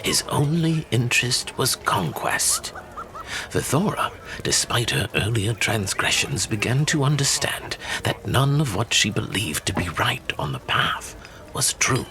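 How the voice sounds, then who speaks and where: An actor playing a measured storyteller who recounts legends in solemn, deliberate, theatrical fantasy narration. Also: male voice, medium pitch, very animated, sharp tone, a little hiss or hum, poor audio.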